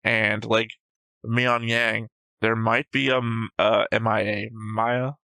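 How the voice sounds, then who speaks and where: Bright-voiced man talking things through casually. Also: fairly low pitch, level tone, takes it slowly, vocal fry, clean tone, no room sound. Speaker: host of an anime reaction show. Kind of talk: casual discussion and analysis of an anime episode.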